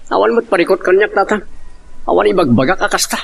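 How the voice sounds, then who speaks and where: Man playing a gravelly, evasive cheating husband. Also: high voice, expressive, fast talker, a bit bright, a little hiss or hum, exaggerated voice. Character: evasive cheating husband, a supervisor, smooth-talking. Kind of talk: Ilocano audio drama acting.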